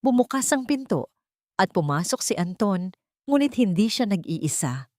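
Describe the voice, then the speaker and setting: A woman's voice: mid-range voice, very animated, moderate pace, a little mellow, no echo, clean studio sound. A woman with a Filipino accent narrating a dramatic scripted story.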